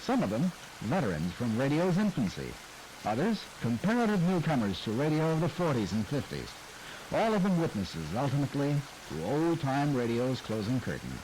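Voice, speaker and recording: An American man narrates a scripted radio documentary in a mid-pitched, highly expressive voice. Clear rain noise runs over the old broadcast audio. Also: normal speed, low-quality recording. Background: steady rain.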